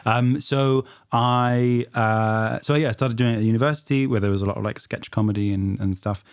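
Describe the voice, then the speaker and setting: A soft-spoken man in his thirties, a comedian guest chatting casually in a studio, hesitant and dry with lots of ums. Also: medium pitch, steady tone, normal speed, smooth, no room sound. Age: 30s. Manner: hesitant, dry and self-deprecating, with frequent ums. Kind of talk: casual podcast chat.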